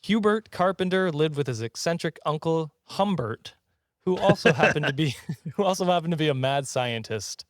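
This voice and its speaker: A man's voice: fairly high pitch, expressive, normal speed, dry recording, studio quality. A man co-hosting a podcast, telling a comic story casually, tripping over his words, giggling and swearing.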